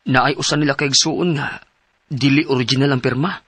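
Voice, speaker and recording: A man's voice: mid-range voice, steady tone, fairly quick, fairly bright tone, lightly acted. An actor with a Cebuano Filipino accent playing a worried, earnest husband in his thirties who seeks advice in a radio drama. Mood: alarmed, uneasy. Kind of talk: radio drama acting and letter narration.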